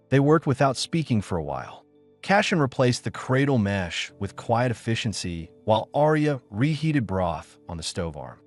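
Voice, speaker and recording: A man narrating a scripted story as an audiobook, with music behind him. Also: lowish voice.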